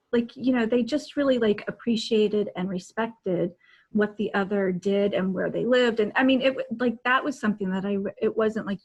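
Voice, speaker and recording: A woman co-hosting a podcast in a casual book chat over a video call, effusive, halting and repeating herself. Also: medium pitch. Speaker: podcast co-host. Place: indoors in a home room, on a video call. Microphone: video call microphone.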